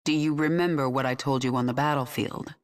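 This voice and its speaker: A woman's voice: extremely low pitch, steady tone, brisk. An actress playing the commanding queen of an alien swarm, giving cold, authoritative orders in a game cutscene.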